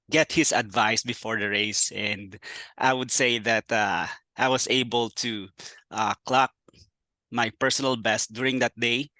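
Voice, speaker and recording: A man's voice: medium pitch, no echo. A male podcast host giving an emphatic, announcer-style introduction of his guest, with many "uh" fillers. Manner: loud, emphatic announcer-like delivery.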